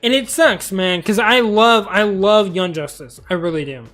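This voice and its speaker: Young male streamer, high voice, rambling casual chat, tired and going hoarse, faint music underneath. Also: normal speed, a bit bright. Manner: rambling, talkative. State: tired, losing his voice. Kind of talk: casual live-stream commentary.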